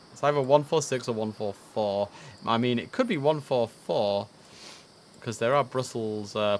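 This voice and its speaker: A man with a fairly low voice gives casual live commentary as a game streamer. He talks in a chatty way, thinking out loud as he goes. Some hissy noise sits around his voice. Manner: chatty, musing aloud. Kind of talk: casual live gameplay commentary on an air traffic control simulator.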